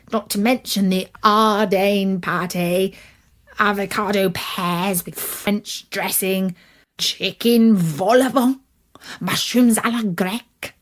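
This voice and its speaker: Woman playing a pompous, worldly gentleman town mouse. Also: mid-range voice, lightly acted. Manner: pompous, genteel, effusive. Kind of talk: storybook character voice.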